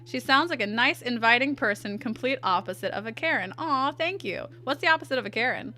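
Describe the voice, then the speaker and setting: Bright-voiced woman with a stuffy nose. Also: on the high side, lively intonation. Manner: chatty, answers chat in quick asides. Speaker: art streamer. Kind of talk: casual chat while drawing.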